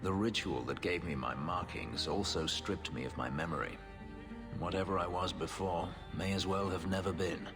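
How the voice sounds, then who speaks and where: A very low, grave man's voice acted as a bitter elven fugitive in a fantasy game. He speaks in solemn, measured phrases with a guarded weight. Long reverb trails the voice and music plays clearly behind it. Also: lightly acted. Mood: somber, guarded. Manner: measured, solemn, halting confession. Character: brooding male elf fugitive, a former slave, bitter and guarded. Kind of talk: dramatic video game acting.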